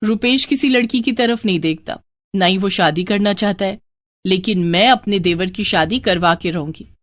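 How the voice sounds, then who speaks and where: A woman voicing a determined, caring young married woman in a dramatized moral story, with expressive, earnest delivery. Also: medium pitch, normal speed, natural voice. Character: determined, caring young married sister-in-law, sly and warm.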